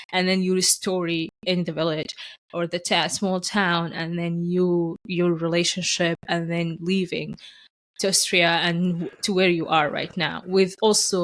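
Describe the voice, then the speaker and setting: A woman hosting a podcast, telling stories in casual conversation with lively restarts. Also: fairly low pitch. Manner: animated storyteller, acts out quoted voices; frequent restarts and fillers. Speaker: podcast host.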